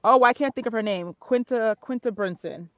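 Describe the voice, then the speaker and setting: A woman's voice: on the high side, normal speed. A woman speaking casually as an interview guest, explaining things in a conversational way. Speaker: podcast guest, voice-over artist and narrator.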